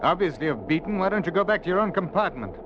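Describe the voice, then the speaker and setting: An actor playing a haughty, exasperated spy with a prim, dismissive manner in a 1950s radio thriller. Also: male voice, sits high, big pitch swings, fast. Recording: muffled old radio recording, vehicle noise.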